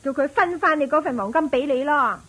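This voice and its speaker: A greedy, scheming wife who nags and goads in a Cantonese radio play. Her Hong Kong accented voice is high and pointed. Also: animated, brisk, fairly bright tone. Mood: scornful goading. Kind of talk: Cantonese radio drama acting.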